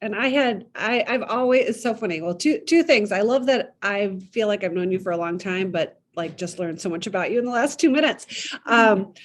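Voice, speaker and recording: A woman's voice: mid-range voice, animated, moderate pace, slight background noise. A chatty, effusive woman with a Midwestern American accent, hosting a casual podcast interview. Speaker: podcast host. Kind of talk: casual chat. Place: needlepoint shop.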